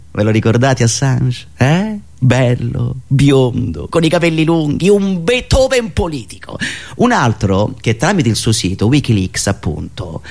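A man delivering a theatrical, ironic political monologue as a radio satirist, emphatic and fond of dramatic pauses. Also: fairly high pitch.

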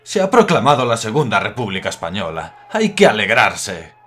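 An impassioned young republican. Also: male voice, fairly low pitch, big pitch swings, normal speed, a bit bright. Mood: hopeful. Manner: earnest, impassioned. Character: idealistic young republican man, passionate. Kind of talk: radio drama acting.

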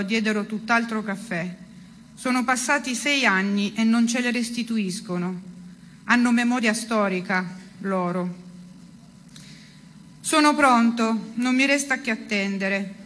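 High-voiced woman reciting, with background noise. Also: bright, forceful tone. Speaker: reader of a literary text. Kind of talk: dramatic literary recitation of first-person prose.

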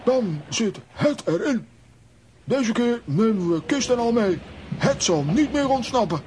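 A man's voice: extremely high pitch, animated, unhurried, noisy background. A man playing a hot-headed, blustering comic adventurer in a children's radio play, theatrical and exclamatory.

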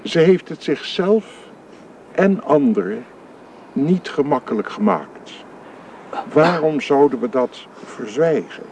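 Radio drama: solemn Protestant minister, grave and oratorical, fairly high male voice, very unhurried, faint noise. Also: darkish tone. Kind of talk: radio play acting.

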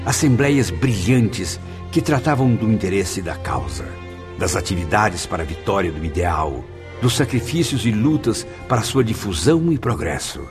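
A man acts out an earnest spirit looking back on his afterlife in a radio drama. His low Brazilian voice moves expressively up and down, formal and full of wonder, over clear background music. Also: animated, moderate pace, natural voice. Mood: marvelling. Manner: solemn, formal, reflective. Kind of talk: radio drama narration in character.

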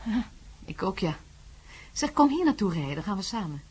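A woman acting in a radio drama as a brisk, down-to-earth wife, in conversational dialogue. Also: mid-range voice, big pitch swings, a little mellow, slight background noise, lightly acted. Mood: relieved, concerned.

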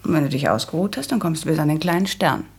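Bossy, husky young woman. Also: low-pitched, fast, full character voice. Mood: patient, explaining. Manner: bossy, matter-of-fact, instructive. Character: brisk, practical young daughter bossing her fiancé. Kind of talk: German literary radio play acting.

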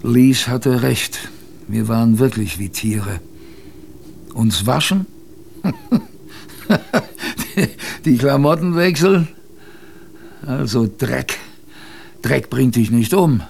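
A man's voice: medium pitch, expressive, slow pace, vocal fry, pauses often, a little mellow, slight background noise. A man playing a plain-spoken, wry sailor who tells his expedition tale chattily, straight to the listener, in a radio drama. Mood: wry, colloquial. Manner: chatty, addresses the listener directly. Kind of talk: radio drama first-person narration, colloquial. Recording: faint background ambience.

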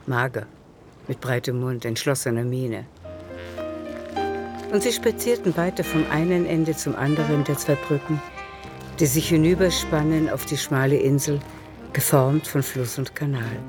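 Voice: medium pitch, a little slow. Narrator for a radio drama, literary storytelling, music playing behind.

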